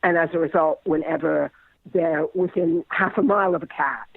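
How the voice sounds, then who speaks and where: A man answers interview questions reflectively and haltingly, with a bookish turn. His voice is a little high and noticeably creaky. The sound is very dry and somewhat dull. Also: dry recording. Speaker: interview guest.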